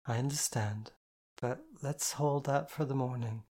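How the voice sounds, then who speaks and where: A stern but tender woman, as voiced by the reader, giving calm, measured orders. Her firmness is gentle, steady and sure. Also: mid-range voice, husky. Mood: firm, caring. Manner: firm, measured, gently commanding. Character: firm, caring dominant woman, calm and stern.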